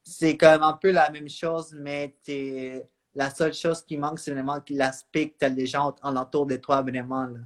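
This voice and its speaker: Male host, informal, conversational, slightly high voice. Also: little pitch movement, moderate pace. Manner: chatty, frequent "ouais" and "tu sais". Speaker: live stream host. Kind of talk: casual interview.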